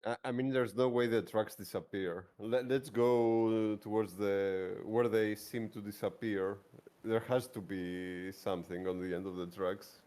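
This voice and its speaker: A man's voice: lowish voice, fairly even intonation, unhurried, dark tone. A man talking casually as a player in a tabletop roleplaying game, reasoning things through.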